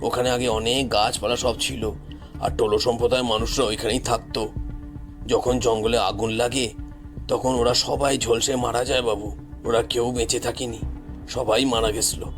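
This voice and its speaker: A man's voice: medium pitch, flat delivery, normal speed, over music, voice pushed down, close to an everyday voice. A man voicing a respectful office guard in an audio story, grave and insistent in manner.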